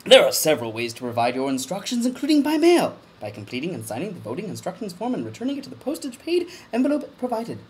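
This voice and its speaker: A man giving a mock-dramatic comedic reading of a formal document, with overblown emphasis and deadpan muttered asides. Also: sits high, fast talker, few pauses, slightly rough, poor audio.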